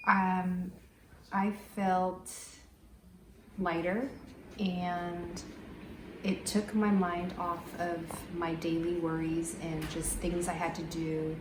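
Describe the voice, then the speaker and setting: A woman answering interview questions thoughtfully, pausing often with ums. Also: lowish voice, flat delivery, reverberant, noisy background. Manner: thoughtful, many ums and pauses.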